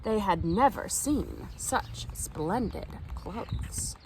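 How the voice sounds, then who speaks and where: A woman reading a children's picture book aloud in an expressive, animated storytime style. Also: medium pitch, drawn-out words, slight background noise, rough recording. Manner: animated, acts out the characters' lines. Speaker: storytime reader.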